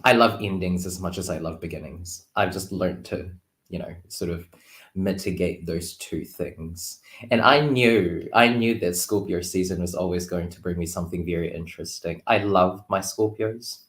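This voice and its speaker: A man talking casually and reflectively as a guest tarot reader, expressive and playful, on an online call from a roomy space. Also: low voice, normal speed. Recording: roomy, echoey sound.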